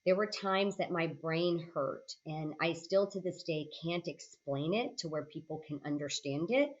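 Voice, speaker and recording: A woman in her late forties talks as an interview guest in a low-pitched voice. She is reflective and conversational, speaking in short phrases and checking in with the listener. The recording is a little dull and lo-fi. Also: a little slow. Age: late 40s. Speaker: podcast guest, senior healthcare leader. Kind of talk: conversational interview, personal storytelling.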